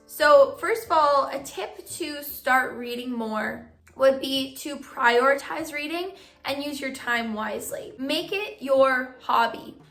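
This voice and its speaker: A young woman vlogging casually to her viewers, giving friendly reading tips, her voice a bit hoarse from losing it. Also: high-pitched, fairly bright tone, roomy sound. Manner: friendly advice-giving, filler "like". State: partly lost voice, hoarse. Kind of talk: casual vlog talk to camera.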